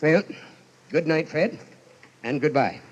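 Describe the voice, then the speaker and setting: Casting: haughty, miserly old man, sardonic, higher-voiced, vintage radio drama, muffled sound. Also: takes it slowly. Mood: sardonic, unyielding. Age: older. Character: stern, sharp-tongued, miserly old rich uncle. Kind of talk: old-time radio horror acting.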